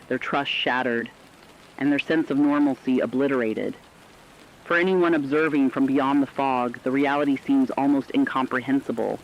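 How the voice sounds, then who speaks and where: Through a dull, lo-fi radio sound with light rainy noise comes a man acting as a medium-pitched news analyst. The reporting is solemn, formal and emphatic, in the manner of a scripted apocalypse radio drama. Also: lightly acted. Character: somber radio news analyst describing human toll of apocalypse.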